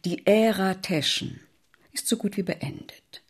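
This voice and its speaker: An actress playing a flattering, scheming aristocratic court lady in a radio drama, with an effusive, insinuating manner. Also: medium pitch, unhurried, breathy edge. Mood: coaxing.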